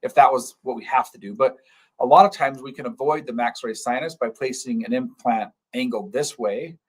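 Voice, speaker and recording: A man's voice: medium pitch, moderate pace, husky. A man, a dentist hosting a live stream, explaining in casual chat and repeating key terms to keep things simple.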